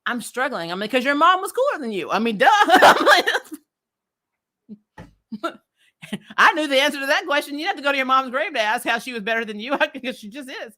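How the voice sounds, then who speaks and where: A woman's voice: high-pitched, animated, brisk, long pauses, pushed and bright. A woman giving a casual, opinionated recap to livestream viewers, speaking bluntly with swearing and often laughing as she talks. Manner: laughs often while talking. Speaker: host of a comics TV recap show.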